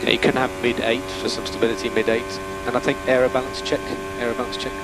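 A male race engineer talks over a team radio with clear noise and hum behind him. He is calm and methodical, giving short technical calls and repeating the important ones. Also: mid-range voice, fast talker. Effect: team radio transmission. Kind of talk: terse race radio instructions. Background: vehicle hum and noise.

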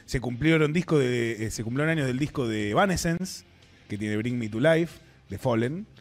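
A man's voice: mid-range voice, expressive, moderate pace. A man in his thirties with an Argentine accent, co-hosting a casual streaming show in a laid-back, slangy, argumentative way. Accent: Argentine Spanish. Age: 30s. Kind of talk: casual banter.

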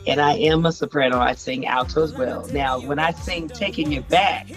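A middle-aged woman from Los Angeles with a husky voice, talking as a guest singer on a video call. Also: low-pitched, moderate pace, soft background music. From Los Angeles, USA. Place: home room indoors. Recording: dull lo-fi video call audio.

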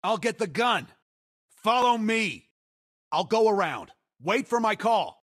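An actor playing an excitable, slightly comic male soldier in a video game, delivering short callouts in a husky, theatrical voice. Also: high-pitched, takes it slowly, heavily acted. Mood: wry, confident.

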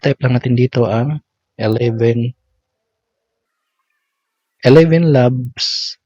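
A man's voice: mid-range voice, darkish tone, husky, no echo. Filipino man, dull lo-fi tutorial narration. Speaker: content creator giving a tutorial. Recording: dull lo-fi audio.

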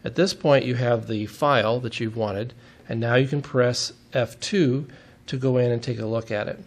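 A man's voice: medium pitch, fairly even intonation, moderate pace. A man giving a step-by-step technical demonstration in a methodical, plain-spoken way, as an instructor would.

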